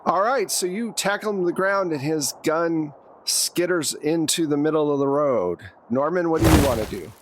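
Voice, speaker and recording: A woman's voice: low-pitched, moderate pace. A woman running a tabletop role-playing game, narrating scenes and addressing her players directly in a conversational way. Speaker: game master. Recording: noticeable background noise, room ambience.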